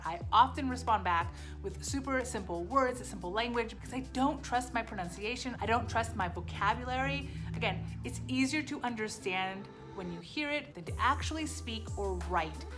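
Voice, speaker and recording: A woman vlogger whose first language was Japanese, talking through her language-class experience in a candid, self-deprecating way, often repeating short phrases. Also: fairly high pitch. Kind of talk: casual vlog reflection.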